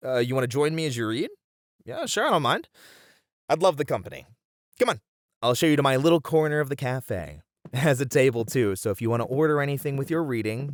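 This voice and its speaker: A man's voice: medium pitch, close to an everyday voice. A man playing a friendly young bunny boy, a chatty and welcoming manga cafe regular, in a casual ASMR roleplay. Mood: welcoming, cheerful. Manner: chatty, easygoing, welcoming, with casual slangy hesitations.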